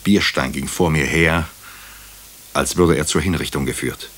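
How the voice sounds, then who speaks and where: A doctor recounting a crime story for radio drama. His voice is extremely deep. He tells it soberly, in measured, literary sentences. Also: a bit bright, exaggerated voice. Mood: sober, observant. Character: male doctor narrating a crime story in first person, sober.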